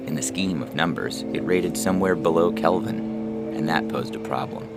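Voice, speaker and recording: Male narrator, extremely low voice, reading short fiction over prominent music. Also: normal speed. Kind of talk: scripted short story narration. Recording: loud music under the voice. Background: loud music.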